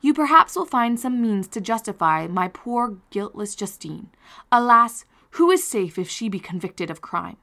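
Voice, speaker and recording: A woman's voice: mid-range voice, animated, normal speed, fairly bright tone, voice pushed up. Woman reading as a grieving young woman. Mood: pleading hope. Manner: earnest, heightened reading.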